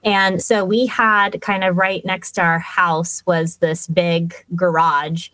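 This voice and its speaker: Woman, English first language, casual interview guest, chatty with fillers, low voice, dry mic. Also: level tone, slow pace, a bit bright, no room sound. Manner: chatty, detailed, with light laughs and fillers.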